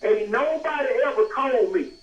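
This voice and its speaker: A man's voice: extremely high pitch, lively intonation, normal speed. A man arguing heatedly on the phone in an indignant, combative, emphatic way. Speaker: prank call recipient. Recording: muffled, distant, echoey noisy phone line.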